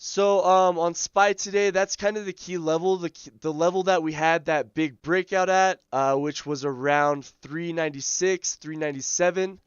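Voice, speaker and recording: A man hosting a live day-trading stream, his voice scratchy as he loses it, talking through charts in a relaxed way full of ums and uhs. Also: fairly high pitch, moderate pace, hardly any pauses, clear, resonant tone, dry recording, dull audio. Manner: relaxed, filler-heavy, thinking aloud. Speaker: day trading livestream host. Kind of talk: live market commentary.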